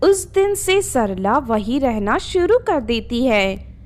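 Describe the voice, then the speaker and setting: A woman narrates a children's tale in a high, very animated voice. Her pace is slow and deliberate, as a scripted storyteller.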